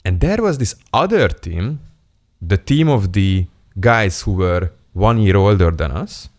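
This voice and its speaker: A man's voice: on the low side, expressive, unhurried, no room sound, studio quality. A young man, from Hungary, telling a personal story to camera in a playful, self-mocking, candid way. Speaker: self-improvement YouTuber. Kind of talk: casual storytelling monologue to camera.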